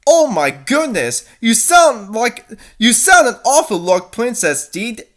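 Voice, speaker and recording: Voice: medium pitch, sing-song, a little slow, voice pushed up. A reader voicing a suspicious, grudging cartoon café owner with a dismissive manner.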